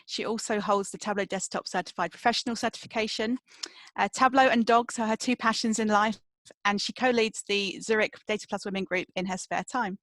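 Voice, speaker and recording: A woman moderating an online webinar, briskly introducing the next speaker. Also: mid-range voice, steady tone, fairly bright tone, dry recording.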